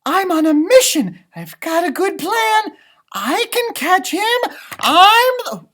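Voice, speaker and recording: Man as a high, excitable gingerbread man. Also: slow, full character voice. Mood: urgent, determined. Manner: emphatic, exclaiming, dramatic declarations. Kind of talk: storybook read-aloud for children.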